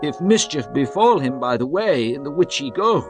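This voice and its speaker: Man playing grieving old father, lamenting, slow and sorrowful, animated pitch. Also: natural voice. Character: grieving aged father Jacob. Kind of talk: dramatized scripture reading. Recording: music bed behind voice.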